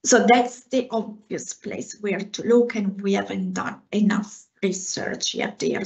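A woman's voice: mid-range voice, gravelly. A woman in her forties from Italy with an Italian accent, a researcher earnestly answering questions after her talk over a video call. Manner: earnest, explains with hand gestures. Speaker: guest speaker, researcher. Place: home room, indoors. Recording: slightly dull video call audio.

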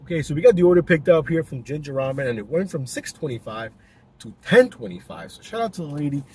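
A man's voice: on the high side, expressive, fast talker, mellow, slightly rough. A young man vlogging while he drives, chatting loosely to the camera with lots of fillers and restarts. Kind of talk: casual vlog talk to camera. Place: inside a car, driving. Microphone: dash-mounted camera. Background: faint car noise.

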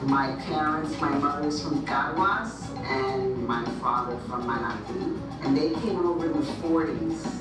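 A woman's voice: very deep voice, unhurried, hardly any pauses, recorded from a distance. A woman from the Bronx describing her birthplace and her parents' roots in an oral history interview, assured. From Bronx, New York. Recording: interview played in echoey room with music.

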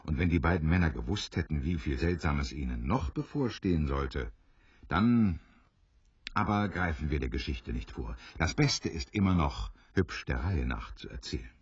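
A man narrating an adventure radio play as an unhurried, knowing storyteller. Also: extremely low pitch, lively intonation, husky, no room sound, highs cut off, full character voice. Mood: confiding.

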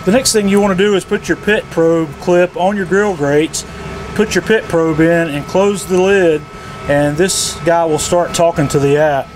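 A middle-aged man from the sound of a casual product tutorial, speaking. His voice sits a little high for a man. He explains each step in a folksy way, with some outdoor ambient noise behind him. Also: steady tone, moderate pace. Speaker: product demonstrator. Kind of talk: casual instructional how-to narration.

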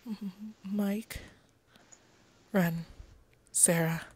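A woman's voice: mid-range voice, steady tone, drawn-out words, darkish tone, slight background noise. Woman playing an anguished, terse young man. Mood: weak, grieving. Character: anguished, angry young man, visual novel protagonist.

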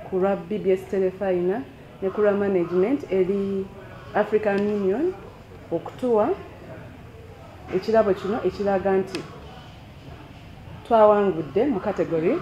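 A woman's voice: mid-range voice, moderate pace, long pauses, dark tone. Formal middle-aged woman, roomy sound. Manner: formal, encouraging. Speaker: broadcaster staff head. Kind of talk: formal speech of thanks. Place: office room. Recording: roomy, dull, faint noise.

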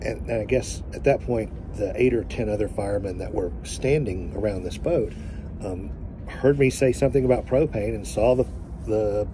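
Earnest lower-voiced man filming outdoors. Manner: emphatic, earnest, pauses to find words. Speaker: family vlogger. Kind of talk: casual storytelling to camera. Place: outdoors by a boat in sunlight. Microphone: phone held at arm's length. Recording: outdoor phone audio with background noise.

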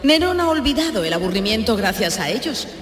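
Woman narrating a radio skit, very lively pitch, echoey, music behind. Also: fairly quick. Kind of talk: scripted narration. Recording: reverberant hall effect, music underneath.

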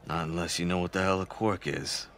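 An actor playing a gruff male shelter resident in a video game, curt and grumbling. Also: low voice, fairly even intonation, fairly quick, fairly bright tone, slight background noise. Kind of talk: acted video game dialogue.

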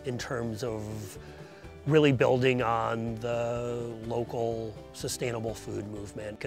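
Farmer guy, 40s, relaxed and thoughtful, some vocal fry, quiet guitar behind. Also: medium pitch. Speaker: dairy farmer. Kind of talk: documentary interview. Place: outdoors by a stone barn.

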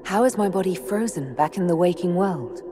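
A woman's voice: fairly low pitch, animated, a little fast, mellow, natural voice. A woman playing a guarded, defiant elf hero in a video game, with a dry, firm manner. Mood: wary. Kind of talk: video game cutscene acting. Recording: music behind.

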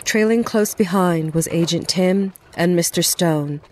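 A woman with a lowish voice narrates an audio drama. Her delivery is scripted storytelling, with faint noise in the background. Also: a little slow, darkish tone.

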